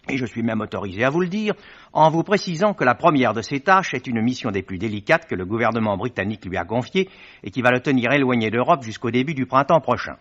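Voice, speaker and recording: A man presents in a ceremonious, courteous 1950s radio manner. His voice is medium-pitched and the speech is formally scripted. The recording is dull and lo-fi. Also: fairly bright tone.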